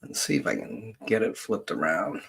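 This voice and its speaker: Husky middle-aged man, halting and rambling. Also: fairly low pitch, expressive, a bit bright. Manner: halting, rambling, thinking aloud. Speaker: genealogist hosting a livestream. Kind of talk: casual livestream chat.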